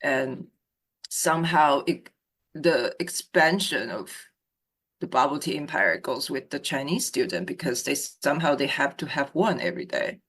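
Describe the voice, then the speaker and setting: A woman from Taiwan, a podcast guest, giving lively and opinionated views in a casual chat over a call. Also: very deep voice, moderate pace, slightly rough.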